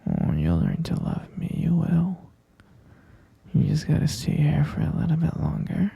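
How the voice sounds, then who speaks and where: A man playing a sweetly menacing, possessive boyfriend captor in a whispered ASMR roleplay, cooing and coaxing in a husky, halting voice. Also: fairly high pitch, huge pitch swings, no echo, highs cut off, exaggerated voice. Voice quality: breathy, husky whisper with gravelly creak.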